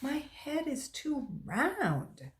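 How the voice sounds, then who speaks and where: Lady doing an earnest excitable little schoolboy from a storybook, high voice, really swoopy. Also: drawn-out words, heavily acted. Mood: glum. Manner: excitable, exclaiming. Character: earnest excitable young schoolboy, a struggling reader.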